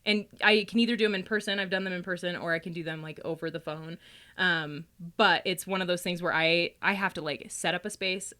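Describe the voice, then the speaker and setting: Woman chatting casually in a bright, forceful voice. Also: fairly low pitch, fairly even intonation, a little fast. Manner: giggly, laughs often while talking; colloquial, heavy use of "like". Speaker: podcast guest, psychic reader. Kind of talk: casual podcast chat, animated storytelling.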